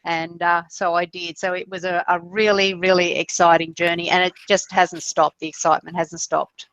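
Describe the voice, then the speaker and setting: A woman with an Australian accent chatting casually on a webinar call, relaxed, with many fillers. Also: fairly low pitch, moderate pace, dry recording. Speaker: webinar panelist, e-learning mentor. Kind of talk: casual video call chat. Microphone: video call. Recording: dull, dry video call audio.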